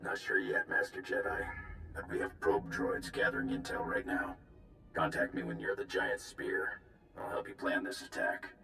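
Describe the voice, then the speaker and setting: An actor playing a gruff, battle-weary military planner in a video game, gravelly and clipped as he briefs. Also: low voice, sing-song, moderate pace, bright, forceful tone, full character voice. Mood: wary. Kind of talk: video game cutscene acting. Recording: echoing sound, music underneath.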